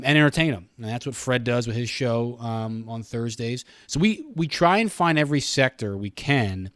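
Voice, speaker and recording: A man's voice: medium pitch, no echo, studio quality. A middle-aged man with an American accent, explaining things earnestly in a casual interview and often doubling back on his phrases. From Pennsylvania, United States. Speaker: radio station communications director, podcast guest.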